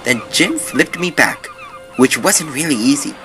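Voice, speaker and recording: A man voicing a small, earnest, excitable talking sailboat with a youthful air in an amateur audio drama. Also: on the high side, a little slow, music in the background. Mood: relieved.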